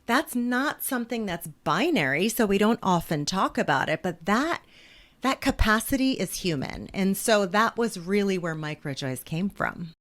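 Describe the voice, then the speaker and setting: A woman in her forties, an author talking as a guest in a thoughtful, measured way and laughing easily. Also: medium pitch, animated, a bit bright. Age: 40s. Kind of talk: reflective interview conversation.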